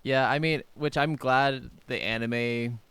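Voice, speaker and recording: A man's voice: medium pitch, fairly even intonation, takes it slowly, fairly bright tone, clean tone, dry recording. A man co-hosting a podcast, speaking casually and informally, opinionated and free with his swearing. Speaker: podcast co-host. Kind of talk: casual chat.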